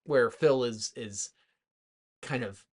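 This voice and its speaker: A man co-hosting a movie podcast, talking in a loose, informal way with lots of halting filler words as he retells things. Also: medium pitch. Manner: informal, heavy use of "like", halting, animated retelling. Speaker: podcast co-host. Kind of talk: rowdy casual movie chat.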